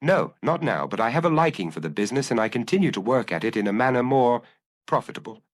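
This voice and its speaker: A man's voice: medium pitch, expressive, quick pace, voice pushed up, lightly acted. A man voicing a dry, hard ex-inspector turned blackmailer in an audiobook detective story, speaking with firmness and precision. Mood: brisk, self-assured. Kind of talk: audiobook character acting.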